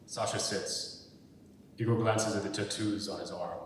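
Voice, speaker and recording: A man's voice: fairly even intonation, a little slow. A young adult man reading stage directions as scripted narration for a dramatic reading. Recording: very echoey, distant, rumbling background noise.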